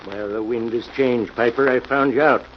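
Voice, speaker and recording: A man's voice: medium pitch, fairly even intonation, normal speed, lightly acted. Man acting a blustery, irascible old sea captain. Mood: stern, accusing. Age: older. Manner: domineering, blustery, scolding. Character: irascible, suspicious old sea captain, wealthy manor owner. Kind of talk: 1940s radio mystery acting. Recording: dull, noisy old radio recording.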